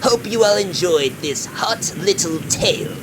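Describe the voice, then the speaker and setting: A man's voice: high-pitched, animated, unhurried, background noise, voice pushed up. A man voicing a gleeful, creepy ghoul who hosts horror comic tales with puns. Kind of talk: dramatic comic-book horror reading.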